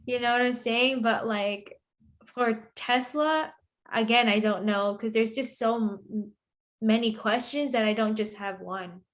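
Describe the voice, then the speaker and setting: A woman's voice: fairly high pitch, a little slow. A woman co-hosting a podcast, talking casually and without a script. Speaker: podcast co-host. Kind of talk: casual unscripted podcast chat.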